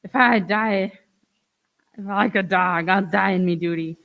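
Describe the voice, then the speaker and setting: A woman's voice: mid-range voice, a little slow, vocal fry, lo-fi sound. A woman playing a loyal, defiant seaman with rustic sailor speech in a radio play. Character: loyal, defiant sailor man facing death.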